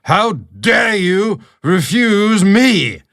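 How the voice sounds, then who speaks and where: A man's voice: high-pitched, very animated, extremely slow, vocal fry, a bit bright, pitched above the performer's own voice, exaggerated voice. A man voicing a rotund, pompous, belligerent British baron in a storybook narration, barking imperiously. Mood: outraged, threatening.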